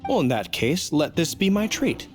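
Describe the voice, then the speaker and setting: A man's voice: a little high, very animated, normal speed, over loud music. An actor playing a dutiful male knight in a video game, polite and formal but hesitant and easily embarrassed. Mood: sheepish.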